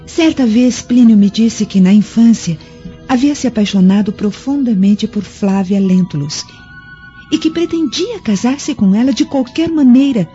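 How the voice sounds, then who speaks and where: Actress as a soft-toned, anxious young Roman noblewoman. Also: fairly high pitch, a little slow, lightly acted. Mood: worried. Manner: earnest, melodramatic. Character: worried young Roman noblewoman confiding in her mother. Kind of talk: radio drama acting. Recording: dull lo-fi radio drama, music behind.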